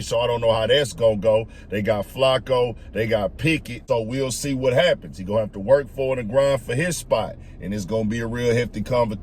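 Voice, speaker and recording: A man's voice: medium pitch, a little hiss or hum. A man giving casual, opinionated sports commentary as a host, slangy and fond of repeated emphatic phrases.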